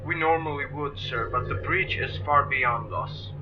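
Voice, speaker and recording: An actor playing a formal, earnest council official in an audio drama, speaking courteously. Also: male voice, mid-range voice, unhurried, dull audio, music in the background, close to an everyday voice. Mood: pleading.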